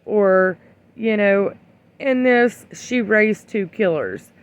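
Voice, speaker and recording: An opinion vlogger giving casual, rambling commentary in a blunt, admonishing way, with hesitant pauses. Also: mid-range voice, smooth. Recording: dull lo-fi sound.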